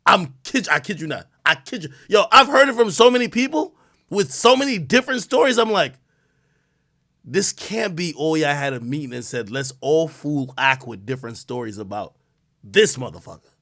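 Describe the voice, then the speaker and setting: A man hosting a live stream, casual and profane, rambling and reacting loudly as he reads things aloud. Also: a little high, lively intonation, moderate pace.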